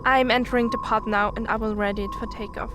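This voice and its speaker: An anxious, stranded spaceship crew member acted by a woman speaks in a halting, worried log. Her voice is fairly high, with loud music behind it, in the manner of a science fiction audio drama. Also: steady tone, close to an everyday voice. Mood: urgent, anxious. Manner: worried, halting, self-correcting.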